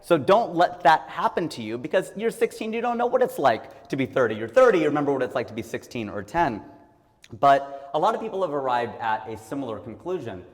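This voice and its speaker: A man's voice: fairly high pitch, big pitch swings, a little fast. Forties guy from ohio doing a funny motivational talk, voice swings all over, big hall echo. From Ohio, USA. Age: 40s. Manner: conversational and joking, acts out quoted people. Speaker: comedian giving a motivational talk. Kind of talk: stand-up style motivational talk.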